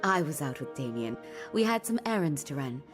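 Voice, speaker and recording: An actress playing an amiable wife in a fantasy video game. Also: female voice, sits low, very animated, normal speed. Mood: friendly. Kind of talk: dramatic video game voice acting. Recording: music behind the voice.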